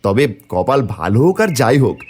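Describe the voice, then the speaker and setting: A man voicing a shrewd, watchful village farmer in a cartoon, expressive and folksy. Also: lowish voice, brisk, a little hiss or hum. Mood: pleased. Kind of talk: cartoon voice acting.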